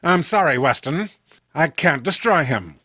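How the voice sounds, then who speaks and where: A man's voice: on the high side, expressive, measured pace, pushed and bright, no room sound, clean studio sound, full character voice. A man voicing an anguished official with a grave, melodramatic manner in a horror comic read-along. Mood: sorrowful. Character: anguished male official, gravely refusing a terrible duty.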